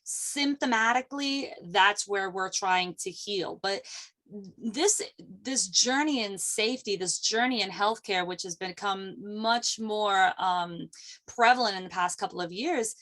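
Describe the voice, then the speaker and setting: A woman's voice: mid-range voice, unhurried, a bit bright. A middle-aged woman guest on a video call, speaking about her field in an emphatic, motivational way with rhythmic lists. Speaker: guest, sonographer and ergonomics expert. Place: office, indoors.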